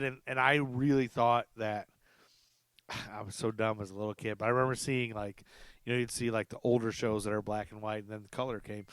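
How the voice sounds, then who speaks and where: A middle-aged man hosts a casual podcast chat, rambling and poking fun at himself with frequent laughs. His voice sits in a middle pitch. The sound is dry and close, with no room around it. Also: fairly bright tone, smooth, no echo. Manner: rambling, self-deprecating, laughs often. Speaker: podcast host.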